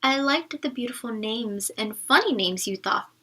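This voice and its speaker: Woman reading as an earnest high-voiced young schoolgirl. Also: expressive, normal speed, sharp tone, husky, full character voice. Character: shy, earnest young Korean schoolgirl in a storybook.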